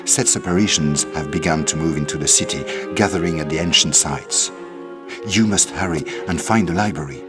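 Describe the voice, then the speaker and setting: An actor playing a worldly, anxious ally in a video game, with a measured, formal, cautionary manner. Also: male voice, a bass voice. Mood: cautionary, urgent. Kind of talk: video game cutscene acting. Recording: game music behind the voice.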